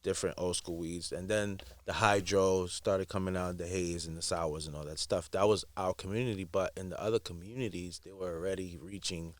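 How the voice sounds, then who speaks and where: A man's voice: deep voice, brisk, hardly any pauses. A man chatting animatedly in a podcast. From Harlem, New York. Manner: animated, agreeable, street slang. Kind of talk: casual podcast chat.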